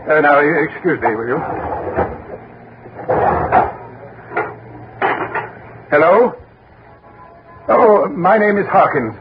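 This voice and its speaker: A man's voice: fairly high pitch, big pitch swings, measured pace, music in the background. An actor playing a fussy, earnest, gossipy businessman in a 1960s radio drama, with an emphatic, hesitant manner that repeats phrases. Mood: flustered. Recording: muffled, noisy 1960s radio broadcast recording.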